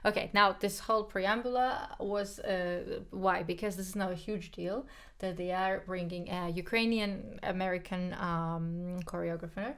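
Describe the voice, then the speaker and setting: Georgian-native woman co-hosting a podcast, kinda low and gravelly, chatty and frank, lots of ums. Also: fairly even intonation, a little slow, hardly any pauses. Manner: chatty, frank, many fillers and repeats. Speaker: podcast co-host, pianist. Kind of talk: casual conversational chat.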